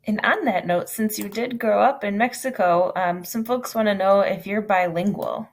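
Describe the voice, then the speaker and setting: A woman's voice: mid-range voice, normal speed. A woman hosting a virtual event, reading interview questions and responding with upbeat encouragement. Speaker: event host. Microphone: video call.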